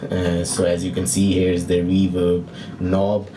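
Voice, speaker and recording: A man giving a casual, unscripted equipment tutorial as a demonstrator, speaking in a hesitant, halting way with frequent pauses. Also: low voice. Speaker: product demonstrator, video host. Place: indoor room by windows. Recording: noisy room with steady background hiss.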